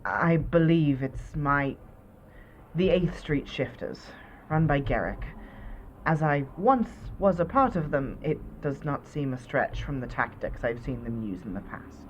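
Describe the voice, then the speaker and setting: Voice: mid-range voice, very animated, measured pace, slight background noise. An actor playing a solemn, formal shapeshifter. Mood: grave. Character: grave, formal shapeshifter leader. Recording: muffled lo-fi phone call.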